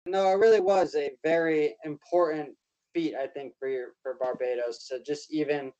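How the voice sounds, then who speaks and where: A man's voice: on the high side, fairly even intonation, moderate pace, a little mellow, lo-fi sound. A man on a sports panel speaking earnestly and reflectively, often checking in with "right?". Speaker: panel guest. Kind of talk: casual sports panel chat.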